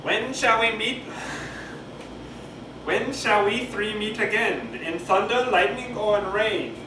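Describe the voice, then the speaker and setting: An eerie witch from a stage play, put on by a man, chants in a high, drawn-out theatrical voice. The recording is distant and roomy, with clear background noise. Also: expressive. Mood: chanting, eerie. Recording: echoey, noisy camcorder audio from far off.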